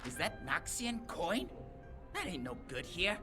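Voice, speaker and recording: A woman's voice: lowish voice, wildly swooping pitch, unhurried. A woman playing a blunt, dismissive port-town innkeeper in a video game. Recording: music behind the voice.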